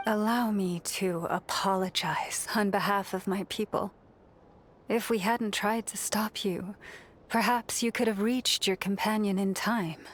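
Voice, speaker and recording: An actress playing a noble young priestess who speaks in a formal, solemn and compassionate way in a video game. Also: female voice, mid-range voice, fairly even intonation, measured pace, a little hiss or hum, lightly acted. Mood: solemn, reproving. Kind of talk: video game character dialogue.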